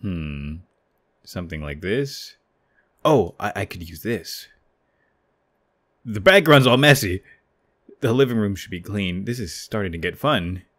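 A man's voice: on the low side, expressive, unhurried, long pauses, fairly bright tone. A man voicing a self-conscious, defensive young male gamer in a manga dub. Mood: smug, bashful.

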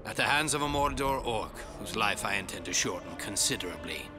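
A man's voice: lowish voice, a little fast, lightly acted. Man acting a sardonic fantasy ranger over music. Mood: guarded, grim. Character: gruff, wry wandering ranger of the north. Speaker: voice actor in a fantasy game. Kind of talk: dramatic game cutscene acting. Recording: music behind.

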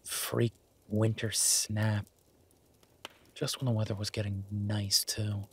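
Male actor as affectionate werewolf boyfriend, low husky voice, unhurried, teasing, sappy romantic, intimate audio roleplay. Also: level tone, a little hiss or hum. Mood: relaxed, wry. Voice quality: husky, breathy. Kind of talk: intimate boyfriend roleplay audio, casual one-sided chat.

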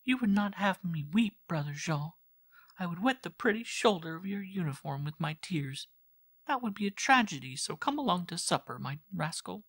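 A man's voice: high voice, expressive, normal speed, a bit bright, no echo, studio quality, voice pushed up, heavily acted. A man voicing a young French girl who coaxes and teases her brother playfully. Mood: teasing. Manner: coaxing, playful.

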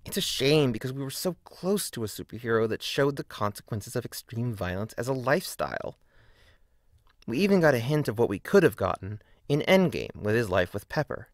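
A man's voice: medium pitch, sing-song, brisk, no echo, clean studio sound. A man narrating a scripted video essay in a critical, emphatic, argumentative way.

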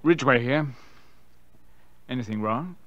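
A smug, scheming murderer nephew. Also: male voice, mid-range voice, animated, takes it slowly, a bit bright, dull audio, slight background noise. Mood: glib, nervous. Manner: confessional, theatrical delivery. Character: scheming, smug young nephew who murdered his aunt. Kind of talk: radio drama acting with first-person narration.

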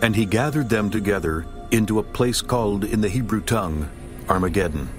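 A man narrating scripture in a slow, solemn, stately reading over music. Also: fairly low pitch.

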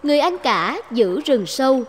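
High-pitched woman storyteller. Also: animated, normal speed, in a large hall. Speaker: narrator. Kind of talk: scripted fairy tale narration for cartoon.

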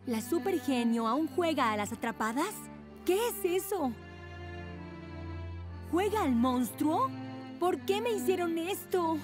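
A nerdy, naive cartoon teenage girl. The voice is high, earnest and slow, with clear music playing behind it. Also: lively intonation, full character voice. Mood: bewildered. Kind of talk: animated cartoon dub acting.

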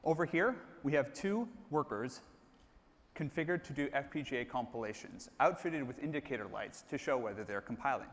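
A man's voice: medium pitch, lively intonation, a little fast. A man in his thirties presenting a live product demo on stage, explaining steadily and calling on colleagues by name. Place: large stage, hall. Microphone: lapel mic. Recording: reverberant hall.

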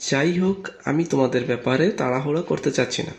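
Man acting a stern, gravelly, threatening king. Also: mid-range voice, roomy sound, natural voice. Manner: measured, threatening. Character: threatening pagan king addressing young rebels, stern, haughty.

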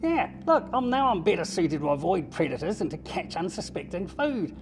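A woman's voice: fairly low pitch, very animated, moderate pace, noisy background, pitched below the performer's own voice. A woman doing a smug, sneaky octopus. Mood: sly.

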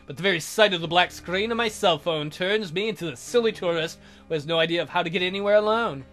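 A woman's voice: lowish voice, fast, fairly bright tone. Woman reading a visual novel over music. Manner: stumbles and corrects misreadings. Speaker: let's player reading a visual novel aloud. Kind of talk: story narration read aloud with character voices. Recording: music behind the voice. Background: guitar game music.

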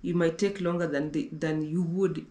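A woman's voice: sits low, steady tone, normal speed, warm tone. Chatty young woman in an echoey room. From Kenya. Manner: chatty, repeats herself, restarts often. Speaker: travel vlogger. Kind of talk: casual conversational travel talk. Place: indoor living room on a sofa.

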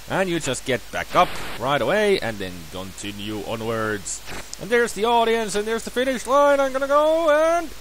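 Let's player, man, 30s, sore throat, chatty and self-correcting, very lively pitch, light background hiss. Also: a little slow, poor audio. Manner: rambling, self-correcting, bursts of excited cheers. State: sore throat, voice lower than usual. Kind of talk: casual gameplay commentary.

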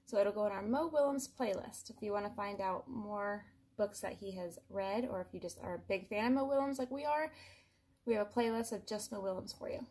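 A woman's voice: mid-range voice, fairly quick, darkish tone, husky. A woman in her thirties presenting a children's read-aloud story time, speaking indoors into a camera mic.

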